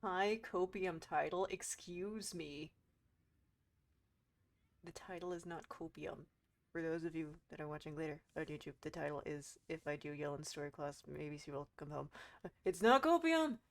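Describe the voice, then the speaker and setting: A woman's voice: low-pitched, normal speed. A woman doing casual, joking, foul-mouthed commentary as a game streamer.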